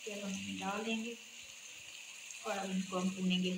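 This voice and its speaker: A woman hosting a home cooking channel, calmly walking through each step of a recipe and often pausing to check in with viewers. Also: medium pitch, gravelly. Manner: calm, instructive, often ends steps with a check-in. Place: home kitchen, indoors. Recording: echoey room with sizzling noise. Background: frying and sizzling from the pan.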